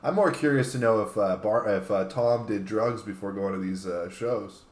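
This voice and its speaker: A man's voice: fairly low pitch, normal speed. A man co-hosting a casual panel chat. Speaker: talk show co-host. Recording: echoey room.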